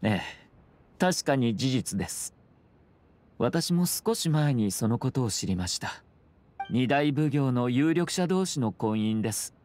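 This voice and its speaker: An actor voicing a suave young noble lord in a video game, speaking formal, measured with courteous composure and subtle wryness. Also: male voice, medium pitch, expressive, a little mellow, slight background noise, lightly acted. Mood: courteous, composed.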